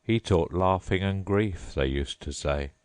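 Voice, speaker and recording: A melancholy male sea creature from a storybook, sighing through every line, as a man puts him on. He talks slowly and a touch louder than the narration. The recording is dry and close. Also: sits low, smooth, no echo. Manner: mournful, slow and sighing.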